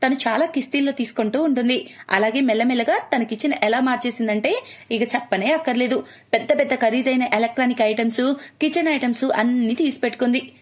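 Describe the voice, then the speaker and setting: A chatty young woman from a moral story, acted out by a female narrator. Her voice is pitched high and swings in an animated way as she eagerly persuades. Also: fast talker, lightly acted. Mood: eagerly persuasive.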